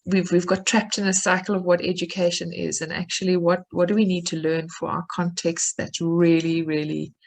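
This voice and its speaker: A woman's voice: on the low side, little pitch movement, normal speed, gravelly, few pauses. A woman moderating an online discussion on a video call, apologetic and encouraging as she moves the group along. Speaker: session moderator. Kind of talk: hosting an online dialogue.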